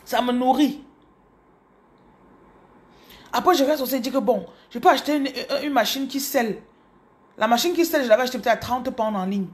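Cameroonian woman in her thirties, higher voice, animated phone vlog to followers. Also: brisk, pauses often. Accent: Cameroonian French. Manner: animated, repeats words, addresses viewers directly. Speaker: business coach. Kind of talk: casual motivational storytelling. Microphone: phone camera held close.

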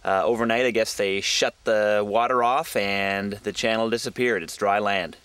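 A man in his thirties talking unscripted to a camera outdoors on a canoe trip, with a halting delivery. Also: lowish voice, steady tone, a bit bright, clean tone, dry recording. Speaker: expedition filmmaker and presenter. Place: outdoors by a wild river and trail. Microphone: handheld camera at arm's length. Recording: noisy outdoor camera audio with ambient sound.

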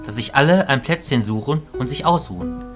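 An actor playing a kindly adult male magician and mentor in a radio play, composed, measured and formal. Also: mid-range voice, lively intonation, over music, lightly acted.

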